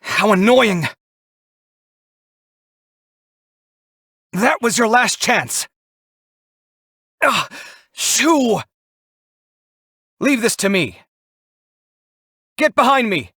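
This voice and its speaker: A man's voice: high voice, expressive, extremely slow, creaky, pauses often, a bit bright, breathy edge, no echo, studio quality, heavily acted. A man voicing an earnest, proud young male architect in an anime-style video game, speaking articulately and theatrically. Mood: irritated, urgent. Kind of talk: animated anime-game voice acting.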